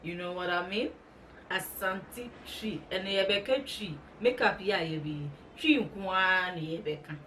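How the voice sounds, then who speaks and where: A woman's voice: fairly low pitch, unhurried, a bit bright, distant mic, a little hiss or hum. A young Ghanaian woman from the Ashanti region talking casually through a makeup tutorial, mixing in English words. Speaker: beauty vlogger. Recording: voice a bit off-mic, music underneath.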